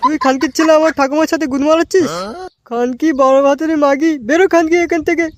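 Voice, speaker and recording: A man's voice: very high-pitched, fairly even intonation, quick pace, faint music behind. A man voicing a combative, hot-tempered grandson in an amateur comedy cartoon. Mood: furious. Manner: combative, indignant. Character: hot-tempered, foul-mouthed grandson visiting the village.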